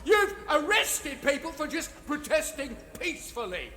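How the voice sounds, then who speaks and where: A man's voice: extremely high pitch, sing-song, normal speed, pushed and bright, a little hiss or hum, full character voice. An actor playing an indignant, confrontational male protestor with an English accent in an audio drama. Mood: angry. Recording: echoey hall.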